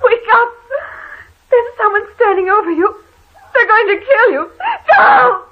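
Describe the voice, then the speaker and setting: High-voiced, frightened radio drama wife. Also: female voice, a little slow, raised voice. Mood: hysterical. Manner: fearful, melodramatic. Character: frightened, anxious wife in a haunted house. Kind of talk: 1940s radio drama acting. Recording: tinny, hissy old radio recording.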